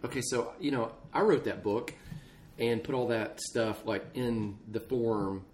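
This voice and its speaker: A man's voice: mid-range voice, measured pace, a little mellow. A man speaking as a podcast co-host, chatting casually and off the cuff with folksy, loose phrasing. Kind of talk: casual unscripted podcast chat. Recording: clear background noise, ambience.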